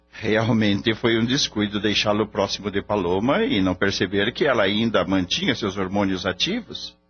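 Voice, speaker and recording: An actor playing a grave veterinarian in a radio drama, speaking Brazilian-accented Portuguese in a formal, explanatory manner. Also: male voice, lowish voice, slightly rough, heavily acted. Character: grave male veterinarian explaining a risky foaling. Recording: dull lo-fi radio drama with music.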